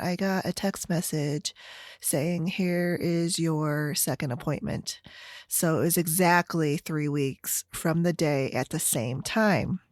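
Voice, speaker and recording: A middle-aged woman with an American Midwestern accent chatting casually and haltingly as a solo podcast host, meandering through her stories. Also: lowish voice, dry recording, studio quality. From Chicago area, United States. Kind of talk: casual solo chit-chat.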